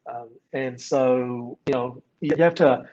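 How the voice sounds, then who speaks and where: A man's voice: medium pitch, a little slow, darkish tone. Hesitant man, slightly muffled sound. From Vietnam. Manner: hesitant, many ums and you-knows. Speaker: agency founder, guest. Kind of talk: casual interview answers. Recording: dull lo-fi sound.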